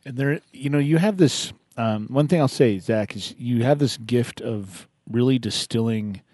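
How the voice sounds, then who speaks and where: A man's voice: mid-range voice, a little slow, a little mellow, slight background noise. A man hosting a radio talk show, chatting casually and encouragingly with a caller, rambling a little as he gives advice. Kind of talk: casual on-air chat with a caller.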